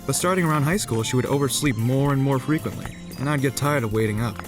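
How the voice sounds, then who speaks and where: A man voicing a wry, apathetic high school boy who narrates his school life in the first person for a visual novel dub. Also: fast, music in the background, close to an everyday voice. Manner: wry, resigned.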